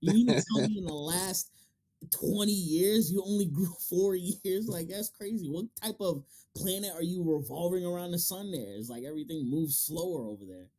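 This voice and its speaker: A man's voice: high voice, normal speed, few pauses, dark tone, low-quality recording. A man hosting a casual interview, talking in an emphatic, slangy way and swearing freely. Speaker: interview host. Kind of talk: casual, profanity-heavy podcast chat.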